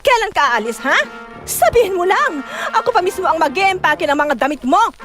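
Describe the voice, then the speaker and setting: An actress playing a jealous, nagging young wife in an audio drama, scolding and accusing with rapid questions. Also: female voice, extremely high pitch, very animated, fairly bright tone, soft background music, exaggerated voice. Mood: furious, taunting. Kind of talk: Tagalog radio-style drama acting.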